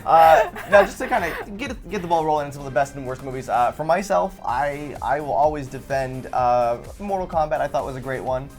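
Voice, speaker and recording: Fast-talking young male host. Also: a little high, expressive, soft background music. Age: 20s. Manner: fast, rambling, laughing asides. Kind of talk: casual panel chat.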